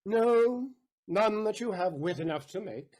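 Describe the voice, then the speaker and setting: A man's voice: high-pitched, expressive, unhurried, darkish tone. A man acting a vengeful, stubborn moneylender in a Shakespeare audio play, with emphatic, defiant theatrical delivery.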